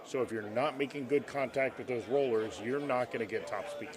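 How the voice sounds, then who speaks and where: Deep-voiced male color commentator, laid-back, booth mic, crowd noise. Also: fairly quick. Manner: laid-back, explains technique. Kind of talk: live esports commentary. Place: announcer's booth at a tournament. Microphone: booth microphone. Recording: busy event with crowd noise. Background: crowd chatter.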